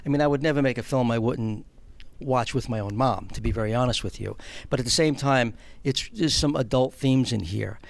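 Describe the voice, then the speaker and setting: A middle-aged man with a New York accent speaks as a guest in a relaxed interview. His voice is gravelly and sits at an average pitch, and he talks plainly, feeling his way through his thoughts. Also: a little fast, hardly any pauses. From Long Island, New York, United States. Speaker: guest, film producer and charity house director. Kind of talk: conversational interview.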